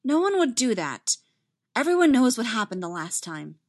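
Woman voicing an insistent, high-pitched young female Jedi apprentice. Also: big pitch swings, moderate pace. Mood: strained, resolute. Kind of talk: dramatic audiobook character dialogue.

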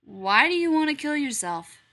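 Woman reader as an unimpressed male stranger; flat, dry retorts. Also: sits high, normal speed, pitched above the performer's own voice, full character voice. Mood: blunt. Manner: blunt, dry.

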